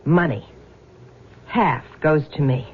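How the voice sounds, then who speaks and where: An actress playing a brash, taunting, venal younger wife with slangy speech in a radio drama. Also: female voice, low voice, takes it slowly. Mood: menacing, taunting. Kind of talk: 1970s radio drama acting. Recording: dull, noisy old radio recording.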